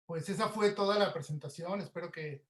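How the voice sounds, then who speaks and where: Male presenter, high voice, conversational and informal, explaining his trade in an echoey room. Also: brisk, a bit bright. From Mexico. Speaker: audio producer giving a presentation. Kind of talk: informal explanatory webinar talk.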